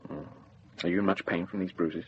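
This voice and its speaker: An actor playing a brisk, shrewd deputy sheriff with an air of authority in a radio drama. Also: male voice, sits low, fairly even intonation, lo-fi sound, a little hiss or hum. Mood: stern, measured.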